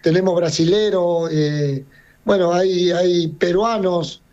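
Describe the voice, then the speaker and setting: A man's voice: high-pitched, fairly even intonation, takes it slowly, dark tone. A middle-aged man from Serbia who runs a circus, talking casually in an interview and rambling with frequent restarts and repeated words. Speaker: circus owner. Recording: dull lo-fi sound.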